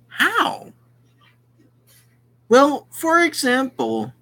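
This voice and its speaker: A young man casually commenting on a visual novel and reading its text aloud, slangy and excitable. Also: sits high, rough recording. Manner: slangy, excitable, exclaims often. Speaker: let's play gamer.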